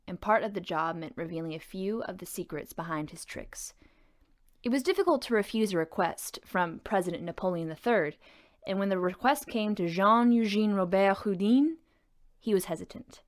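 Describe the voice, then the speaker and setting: A woman reading a scripted historical story as a narrator. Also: on the low side.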